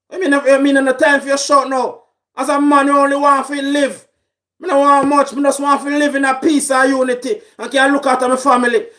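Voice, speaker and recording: High-pitched shouting Jamaican man. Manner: shouting, raging. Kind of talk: angry political rant.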